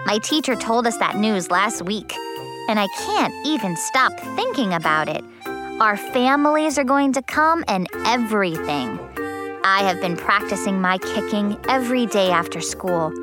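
A woman voicing an excitable, cheeky first-grade girl in a children's audiobook, with childlike, exaggerated emphasis. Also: mid-range voice, normal speed, over music, lightly acted. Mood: excited. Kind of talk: children's audiobook character narration.

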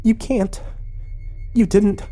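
A man voicing a snide, possessive young wife in an audio story, with a shrill, high-pitched voice. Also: very animated, unhurried, darkish tone, soft background music. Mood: alarmed, threatening. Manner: scoffing, stammers when cornered.

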